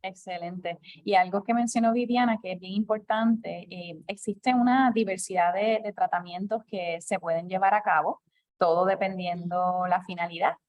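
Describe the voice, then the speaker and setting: A woman moderating a question session at an archive, speaking in a measured, formal way. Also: medium pitch, fairly even intonation, darkish tone, no room sound. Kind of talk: moderating a lecture Q&A.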